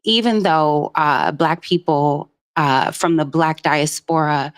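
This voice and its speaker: A woman from Jamaica phones in to a live stream and speaks at length. She is earnest and rambles, filling her pauses with "um." Her voice sits a little low. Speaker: caller. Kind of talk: casual call-in commentary.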